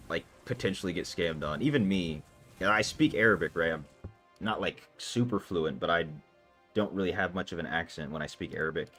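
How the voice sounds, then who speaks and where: A man's voice: low voice, fairly bright tone. A man streaming live and casually chatting with his viewers, loose and talkative, with lots of filler words. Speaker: livestream gamer. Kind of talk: casual livestream chat answering viewers. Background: faint background music.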